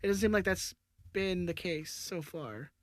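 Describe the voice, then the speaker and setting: A man's voice: high voice, a little slow, dry recording. A man co-hosting a casual sports podcast, talking hesitantly with lots of fillers. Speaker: podcast co-host.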